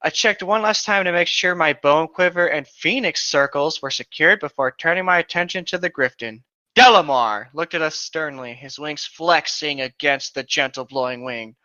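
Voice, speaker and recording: A man's voice: a little high, moderate pace, pushed and bright, dry recording. A man reading amateur fiction aloud as a co-host, recorded on a slightly dull lo-fi microphone. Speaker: co-host of a comic group reading. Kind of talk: story narration read aloud.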